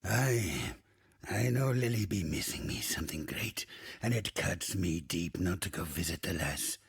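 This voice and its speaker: Crusty old ghost pirate captain for a game, super raspy, swings all over, crude and boastful. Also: male voice, low voice, expressive, unhurried, dry recording, clean studio sound, full character voice. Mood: melancholy. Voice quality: gravelly, husky, raspy. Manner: archaic pirate dialect, swaggering, theatrical, cursing. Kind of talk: video game character acting.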